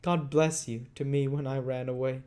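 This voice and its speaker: A man voicing an excitable, affectionate young orphan boy in a storybook reading, with gushing, emotional delivery. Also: medium pitch, normal speed, voice pushed up, close to an everyday voice. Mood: fervent.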